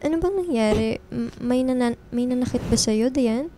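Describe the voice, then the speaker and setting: A woman's voice: a little high, moderate pace, warm tone, slight background noise, close to an everyday voice. A woman playing a caring, easily flustered young friend in a Filipino radio drama. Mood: worried.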